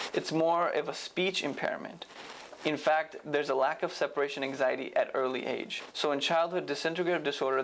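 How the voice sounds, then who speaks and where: A man's voice: a little high, a bit bright, slight background noise, low-quality recording. A young adult man giving a scripted educational presentation as a medical student, in a formal, explanatory manner.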